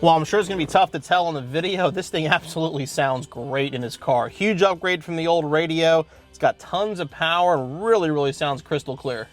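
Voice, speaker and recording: A man's voice: a little high, brisk, few pauses, faint music behind. A man hosting an instructional car installation demonstration, explaining step by step in plain-spoken language. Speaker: host of car installation how-to videos.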